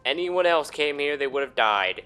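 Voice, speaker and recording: A man's voice: a little high, animated, normal speed, bright, forceful tone, clean tone. Profane, sarcastic man commentating over game music. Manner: profane, sarcastic, mock-indignant. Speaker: gaming video player and commentator. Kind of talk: casual let's play commentary.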